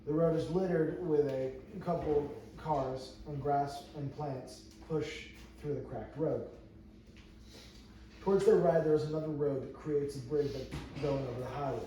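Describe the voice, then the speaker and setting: A man's voice: fairly high pitch, measured pace, dark tone. A man reading stage directions aloud at a script table read, as narrator. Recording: very echoey room, distant mic, noisy.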